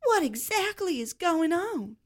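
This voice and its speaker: A woman's voice: very high voice, big pitch swings, measured pace, pitched above the performer's own voice, heavily acted. A woman voicing a good-hearted, exclaiming young ranch woman in a story read aloud. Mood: bewildered.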